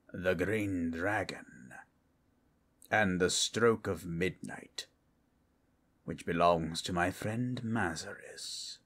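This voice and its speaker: A man playing an ancient, calm and menacing undead collector with a formal, deliberate delivery in an audio roleplay. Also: sits low, heavily acted.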